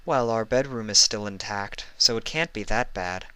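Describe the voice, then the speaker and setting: Man as a caring big-sister pony princess, gentle, teasing, clear, lifted pitch, storybook character. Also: normal speed, no room sound. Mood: gently joking. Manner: gentle, lightly joking.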